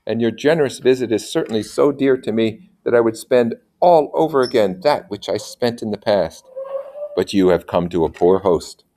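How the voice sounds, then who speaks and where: A man voicing a humble, devoted gentleman of small means in a storybook, earnest and courtly. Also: fairly low pitch, moderate pace, voice pushed up, natural voice. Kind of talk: storybook character reading.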